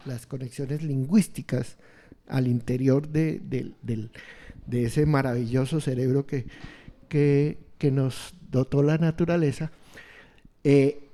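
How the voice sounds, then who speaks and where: A man explaining ideas on education in an interview, halting and restarting often as he searches for words. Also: on the high side, warm tone. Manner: slow, halting, many restarts and fillers. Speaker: education expert guest.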